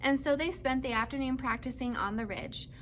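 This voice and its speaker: A woman's voice: high-pitched, fairly quick, slight background noise. A woman narrating a children's book as a read-aloud, telling the story to young listeners. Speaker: storybook reader.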